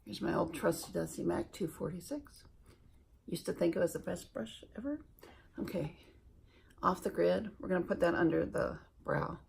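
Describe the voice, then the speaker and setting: A woman from Alaska chatting slowly and self-deprecatingly while doing her makeup for a beauty vlog. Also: lowish voice, vocal fry, darkish tone, a little hiss or hum. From Alaska, USA. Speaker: beauty vlogger.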